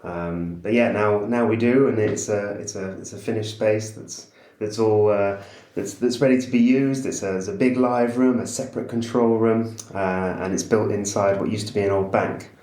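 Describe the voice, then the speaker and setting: A man with a lowish voice talks as a podcast guest in a casual, easygoing way, often restarting his sentences. A noticeable echo from the room surrounds his voice. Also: fairly even intonation, normal speed, few pauses, dark tone. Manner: hesitant, many restarts, easygoing laughs. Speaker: recording studio owner, guest. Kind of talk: casual interview chat. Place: host's house. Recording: roomy echo.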